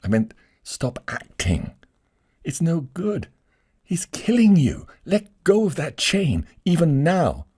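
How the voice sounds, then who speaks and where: A man voicing a gentle, loving woman who pleads earnestly and urgently. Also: a little high, wildly swooping pitch, takes it slowly, breathy edge, pitched above the performer's own voice, heavily acted. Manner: earnest, imploring.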